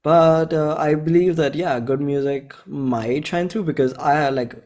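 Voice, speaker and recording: A man in his twenties from India with an Indian accent, talking informally and earnestly as an interview guest, in halting phrases. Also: on the high side, steady tone, darkish tone. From Bhopal, India. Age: 20s. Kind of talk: casual chat.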